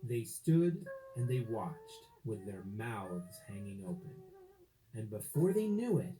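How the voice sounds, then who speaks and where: A woman reading a children's story aloud expressively, with dramatic, drawn-out phrasing. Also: very deep voice, very animated, over music, poor audio.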